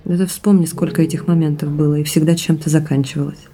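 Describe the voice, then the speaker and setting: A woman's voice: sits low, fairly even intonation, fast, lightly acted. An actress playing a caring, practical wife in naturalistic audio drama, probing and supportive in how she talks.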